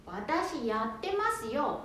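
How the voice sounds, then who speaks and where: In a very echoey room with faint noise, a woman acts out an exasperated middle-aged housewife in a radio play. Her voice is fairly high, nagging and full of complaint. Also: very animated, slow, a bit bright, full character voice. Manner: complaining, scolding. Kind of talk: amateur radio drama acting.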